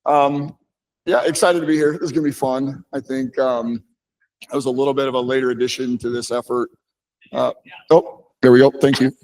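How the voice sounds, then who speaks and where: A man's voice: medium pitch, fairly quick, rough recording. Chatty American man moderating a panel. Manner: relaxed and chatty, self-deprecating. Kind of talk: casual conference panel talk. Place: conference hall. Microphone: handheld microphone.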